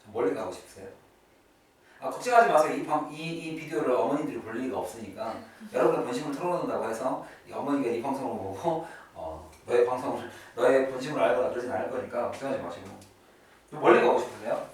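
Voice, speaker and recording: A man's voice: medium pitch, expressive, fast. A man teaching an English class in a chatty way, often checking in with his students. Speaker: English teacher at a private academy. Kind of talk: classroom lesson, explaining vocabulary and grammar. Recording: echoey room, distant mic, faint noise.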